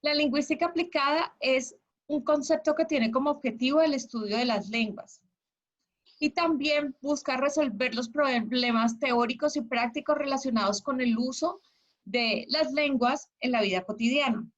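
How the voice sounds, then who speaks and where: Female teacher, Colombian accent, fairly high voice, encouraging, interactive lecture over slightly dull online class audio. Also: normal speed. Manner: encouraging, checks understanding with questions. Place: online video class with slides.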